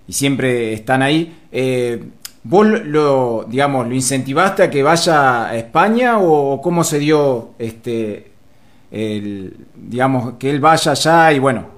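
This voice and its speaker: Argentine host asking rambling questions. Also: male voice, mid-range voice. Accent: Argentine Spanish. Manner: rambling questions with many fillers. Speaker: interviewer, show host. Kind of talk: casual interview questions.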